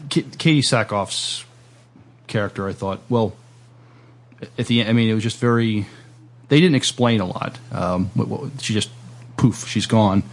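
A man's voice: fairly low pitch, normal speed. A man hosting a casual podcast interview, speaking in a hesitant, agreeable way. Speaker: podcast host.

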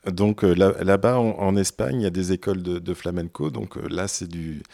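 Radio host guy, really low warm voice, keeps repeating himself. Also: gravelly. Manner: halting, repeats words, many fillers. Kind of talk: radio interview questions.

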